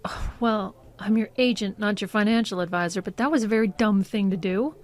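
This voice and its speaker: A woman acting in an audio drama as a skeptical, blunt but soft-hearted agent with a matter-of-fact manner. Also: mid-range voice, brisk, natural voice. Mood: businesslike, blunt. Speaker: actor.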